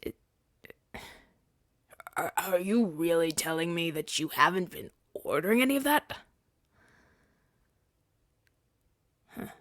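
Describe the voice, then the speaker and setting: A man's voice: a little high, lively intonation, moderate pace, a bit bright, dry recording, studio quality. A man playing an earnest, defensive hero of a fantasy rebellion in an audio roleplay, arguing in a conversational, stammering, exasperated way. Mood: incredulous, arguing. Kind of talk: ASMR-style audio roleplay acting.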